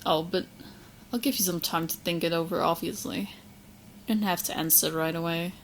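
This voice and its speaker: Casting: female performer as clingy, self-assured young witch for roleplay audio; slightly low voice, coy chatty delivery, faint ambient noise. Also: steady tone, normal speed, lightly acted, poor audio. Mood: sweet, coaxing. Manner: coy, smug, chatty, hesitant asides. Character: obsessive, smug young female witch in love. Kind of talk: roleplay audio drama, addressing the listener.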